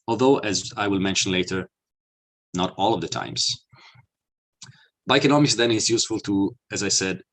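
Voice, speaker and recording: An Italian-accented man presents over a webinar, speaking in a fairly low voice. He explains earnestly, stopping on "uh" and restarting his sentences. It sounds like a computer microphone on a video call. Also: a bit bright. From Italy. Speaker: webinar presenter, mobility consultant.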